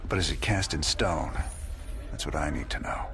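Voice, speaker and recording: Deep, forceful, grim rebel commander. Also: male voice, normal speed. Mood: troubled, urgent. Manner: terse, grave, intense. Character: grim, troubled rebel commander, weary but determined. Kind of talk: dramatic video game voice acting. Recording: faint ambient noise.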